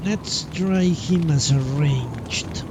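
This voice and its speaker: A man's voice: fairly high pitch, drawn-out words, noisy background. A man doing casual live commentary on a game, musing and muttering to himself in short fragments as he thinks aloud. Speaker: live streamer playing a role-playing game.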